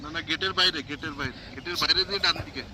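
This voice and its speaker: A man's voice: on the high side, very fast, sharp tone. A man with a Bengali Indian accent, a native Bengali speaker, giving brief casual replies outdoors as a helper in an equipment test. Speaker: helper testing an intercom.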